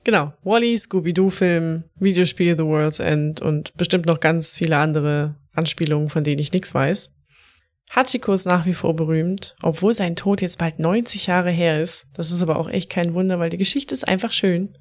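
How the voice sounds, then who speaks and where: A woman's voice: lowish voice, fairly even intonation, moderate pace. Chatty woman podcast co-host. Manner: chatty, storytelling, laughs often. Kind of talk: casual podcast chat.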